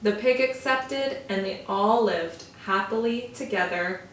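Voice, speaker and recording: A woman teacher reading a storybook aloud to young children, with slow, expressive, drawn-out emphasis. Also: mid-range voice, a little hiss or hum. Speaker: first-grade teacher. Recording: roomy echo, from far off.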